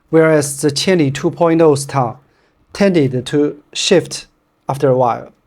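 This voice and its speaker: Brief: male non-native English speaker, Chinese accent, scripted gear reviewer, steady and direct. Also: a little high. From China. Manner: plain, straightforward, steady delivery. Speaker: running shoe reviewer.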